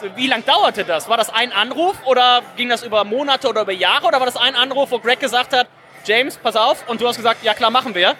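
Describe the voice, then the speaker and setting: High-voiced, bright-sounding male interviewer. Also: quick pace, a little hiss or hum. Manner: fluent, long enthusiastic questions. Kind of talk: interview questions.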